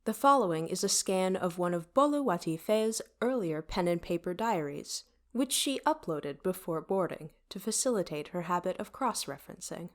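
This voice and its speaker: A woman's voice: lowish voice, animated, normal speed, hardly any pauses, lightly acted. A woman playing a wry, motherly starship AI in an audio drama, speaking with precise, formal diction over music. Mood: measured, explanatory. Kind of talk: scripted audio drama narration. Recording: music behind.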